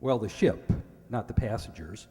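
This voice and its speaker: A man's voice: lowish voice, expressive, mellow, close to an everyday voice. A man playing an earnest, literal-minded ship's purser who gives hesitant answers as a radio quiz contestant. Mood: matter-of-fact. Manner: hesitant, halting, plainly literal. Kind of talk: recreated old-time radio quiz show. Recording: echoey hall with long reverb.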